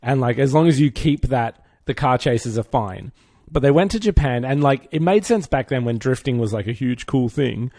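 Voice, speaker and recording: A man co-hosting a podcast, talking casually about films with animated, slangy, joking banter. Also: mid-range voice, normal speed, no echo, clean studio sound. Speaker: podcast co-host.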